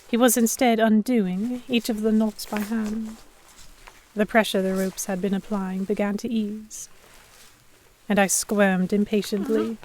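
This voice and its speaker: A woman giving expressive first-person narration in the style of a dramatic audiobook. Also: mid-range voice, slight background noise.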